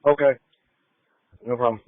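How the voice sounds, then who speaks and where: A male police officer speaking calmly and laconically over a tinny radio. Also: mid-range voice, moderate pace, no room sound.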